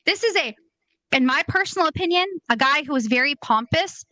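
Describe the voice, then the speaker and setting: A woman hosts a podcast, her voice bright and high. She talks in a casual, blunt way and states her opinions plainly, and the sound is dry and close. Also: lively intonation, brisk, no room sound, low-quality recording. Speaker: podcast host.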